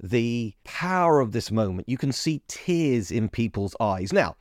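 A man's voice: medium pitch, sing-song, unhurried, dry recording, studio quality. A man hosting a podcast in a casual, chatty way, often restarting his sentences and leaning hard on key words. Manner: chatty, self-interrupting, emphatic. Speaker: podcast host. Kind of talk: casual history chat.

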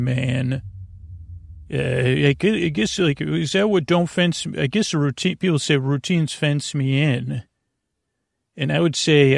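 Creaky-voiced man rambling a bedtime monologue. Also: fairly high pitch, no room sound, studio quality. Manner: meandering, halting, full of tangents and self-corrections. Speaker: podcast host. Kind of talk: rambling bedtime monologue meant to lull listeners to sleep.

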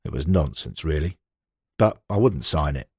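A man plays a frank male football manager looking back in an audiobook interview. He is blunt and conversational, his pitch leaping about for emphasis, recorded dry and close. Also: expressive, normal speed, a little mellow, husky, dry recording, full character voice. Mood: indignant, candid. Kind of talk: acted interview quotations in an audiobook.

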